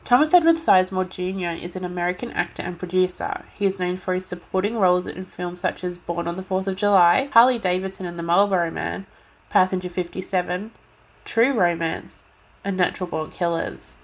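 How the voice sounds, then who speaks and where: A woman's voice: lowish voice, fairly quick, low-quality recording. A woman podcast host with a stuffy nose reads a scripted rundown and sometimes stumbles over names. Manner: careful reading with occasional stumbles over names. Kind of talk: reading a scripted true-crime rundown.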